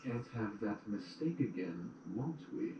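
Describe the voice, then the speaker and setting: A man acts out a smug changeling in an audio drama, condescending under false politeness. His lowish voice moves around in pitch. The sound is very echoey and muffled. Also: lively intonation, a little slow, distant mic, noisy background. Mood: mock polite. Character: smug, mocking male changeling colony dweller. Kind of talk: fan audio drama acting.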